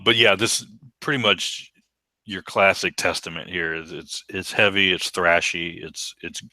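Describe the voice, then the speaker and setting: A middle-aged man with a baritone voice, co-hosting a casual podcast chat and speaking with enthusiasm. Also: bright, forceful tone, no room sound. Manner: enthusiastic, repeats words when restarting. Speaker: podcast co-host.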